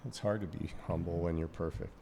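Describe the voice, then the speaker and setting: A man with a very deep, low voice co-hosts a casual podcast chat. He gropes for words, restarting sentences as he works through his thoughts. His voice trails off into a long, ringing reverb. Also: creaky, warm tone, a little hiss or hum. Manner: halting, many restarts, thinking aloud. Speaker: podcast co-host.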